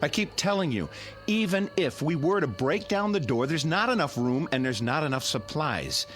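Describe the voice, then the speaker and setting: A man's voice: a little high, expressive, moderate pace, a bit bright, soft background music. A male actor in a radio drama playing a practical, level-headed neighbor arguing with urgent exasperation. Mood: exasperated. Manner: argumentative, insistent.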